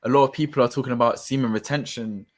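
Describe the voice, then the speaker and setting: A man's voice: mid-range voice, steady tone, quick pace, a little mellow. A man hosting a podcast interview in a casual way, feeling his way through his questions.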